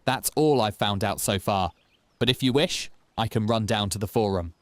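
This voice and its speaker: An eager young slave in a historical audio drama, voiced by a man. His pitch is raised well up, and he sounds excited and deferential, closely recorded. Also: moderate pace, dry recording, natural voice. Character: eager young male slave bringing news.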